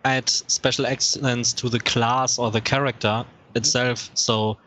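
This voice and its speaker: Man, German accent, guest, slow pace, relaxed. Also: mid-range voice, slight background noise. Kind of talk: casual group chat.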